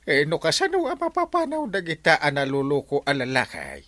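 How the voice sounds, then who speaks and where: Village captain, male: cowardly blusterer, Ilocano accent, stammering and spluttering, bright delivery that leaps about wildly. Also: a little high, wildly swooping pitch, brisk, no echo, exaggerated voice. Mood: nervous. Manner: stammering, blustering, full of interjections. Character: excitable, easily rattled, cowardly village captain. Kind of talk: radio comedy drama acting.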